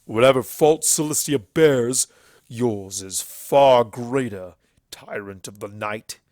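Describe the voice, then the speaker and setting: A man's voice: mid-range voice, takes it slowly, darkish tone, dry recording, lightly acted. A bitter and ancient stallion from a fantasy storybook, performed by a man. He declaims his accusations with heavy scorn, stretching key words for emphasis. The sound is close and dry. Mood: scornful fury.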